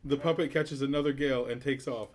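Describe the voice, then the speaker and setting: A man narrates a script's stage directions at a table read. His voice is mid-pitched, in a plain scripted reading. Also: fairly even intonation, fast talker.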